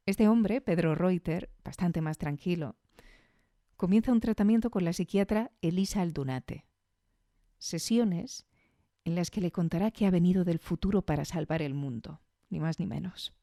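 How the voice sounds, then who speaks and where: A woman from Spain with a lowish, mellow voice presents a podcast review. She talks conversationally, dropping in chatty asides and checking in with the listener. The recording is dry and close, with no room sound. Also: dry recording. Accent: Spanish from Spain. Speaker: podcast host. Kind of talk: casual scripted podcast review.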